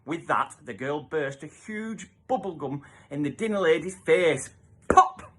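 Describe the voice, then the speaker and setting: A man's voice: fairly high pitch, lively intonation, measured pace, fairly bright tone. A man reading a children's story aloud as a theatrical narrator, with emphatic sound-effect words. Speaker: storybook reader.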